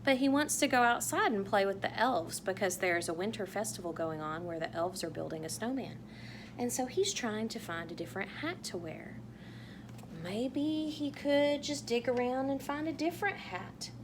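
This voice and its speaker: A woman reading a picture book aloud to young children in a playful, animated way, asking exaggerated wondering questions. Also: fairly high pitch, a little hiss or hum. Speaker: children's read-aloud host.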